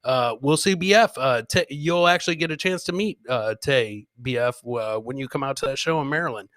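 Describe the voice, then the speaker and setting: Bright, forceful male podcast host. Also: medium pitch, a little fast, no room sound. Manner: slangy, repeats himself, addresses listeners directly. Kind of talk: casual live chat with viewers.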